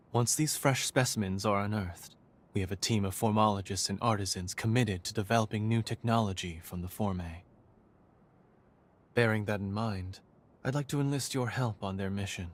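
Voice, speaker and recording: A male actor playing a composed, courteous institute leader in a video game cutscene, speaking in formal, measured, eloquent phrases. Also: on the low side, natural voice. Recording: game audio with background music.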